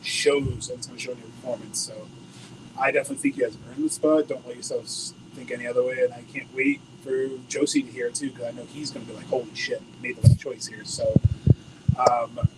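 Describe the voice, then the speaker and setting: An American man interviewing a band at a bowling alley venue, upbeat and joking, with a loud voice. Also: mid-range voice, fairly even intonation, a little fast. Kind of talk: casual interview. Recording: noisy location recording.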